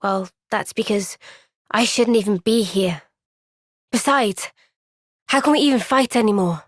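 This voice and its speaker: An actress voicing a gentle, caring young female soldier in a game cutscene, speaking softly and earnestly. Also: medium pitch, unhurried, dry recording, clean studio sound, lightly acted. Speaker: game character. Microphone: close studio mic.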